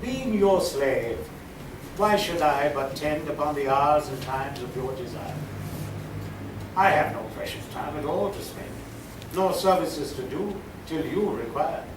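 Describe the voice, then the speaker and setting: A man reciting Shakespearean sonnets as a poetry reader, with deliberate, dramatic phrasing and pauses. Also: a little high. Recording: roomy, distant, clearly noisy live recording.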